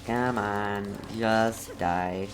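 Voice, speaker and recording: A woman's voice: rumbling low, fairly even intonation, drawn-out words, over music. A woman game streamer giving casual, reactive commentary as she plays.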